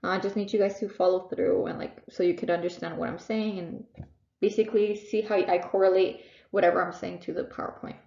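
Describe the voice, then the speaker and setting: A young woman with a Texan accent and a congested nose, tutoring students in a casual, halting way full of fillers and restarts. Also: on the low side, little pitch movement, creaky, mellow. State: congested, sniffling. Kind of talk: recorded online reading lesson. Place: at home. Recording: echoey, dull lo-fi home recording.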